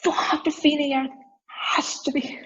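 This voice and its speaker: A woman reading the part of an excited amateur football commentator in a play table read, calling the action play by play. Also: high voice, moderate pace, muffled recording, a little hiss or hum.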